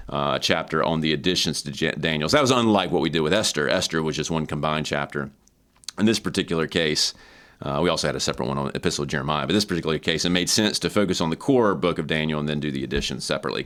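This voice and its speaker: A man's voice: rumbling low, fairly bright tone. A man hosting an informal, explanatory walkthrough of an academic book, conversational yet scholarly, repeating words for emphasis. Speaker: host and book reviewer.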